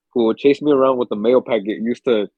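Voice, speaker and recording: A man's voice: mid-range voice, normal speed, darkish tone, breathy edge, dry recording. Stuffy young man telling stories. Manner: laid-back, swears freely, rambling storyteller. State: stuffy, under the weather. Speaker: podcast co-host. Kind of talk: casual chat.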